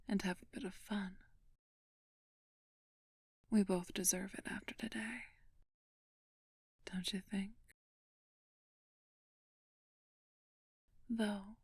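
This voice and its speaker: Woman playing a breathy, whispering, seductive ancient vampire woman. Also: medium pitch, a little mellow, no echo, clean studio sound. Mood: seductive, smug. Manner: slow, teasing, commanding, haughty. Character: flirtatious, imperious ancient female vampire thief. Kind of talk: whispered ASMR roleplay.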